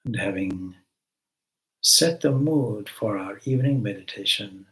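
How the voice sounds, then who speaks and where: A man leading a guided meditation as a spiritual teacher, giving gentle instructions with long pauses between phrases. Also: fairly low pitch, lively intonation, low-quality recording.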